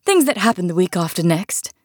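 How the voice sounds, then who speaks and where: A woman's voice: lowish voice, very animated, fairly quick, no echo, studio quality. A woman voicing a kindly, muddle-headed storybook queen in an airy, matter-of-fact way. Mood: airy, careless.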